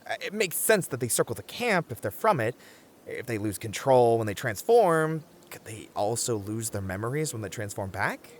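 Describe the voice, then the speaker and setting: Man playing an anxious, animated young camper. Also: medium pitch, moderate pace, a little hiss or hum, natural voice. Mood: thoughtful. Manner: hesitant, stammering, wry. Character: anxious, sensible young male camper, exasperated voice of reason. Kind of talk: ASMR audio roleplay comedy acting.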